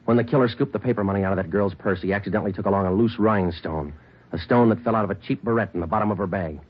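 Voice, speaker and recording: A man playing a brisk, relentless police sergeant. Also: on the low side, lightly acted. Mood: steady. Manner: clipped, matter-of-fact questioning. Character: male police sergeant, calm and relentless interrogator. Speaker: police detective. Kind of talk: 1940s radio acting. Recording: dull lo-fi old radio broadcast.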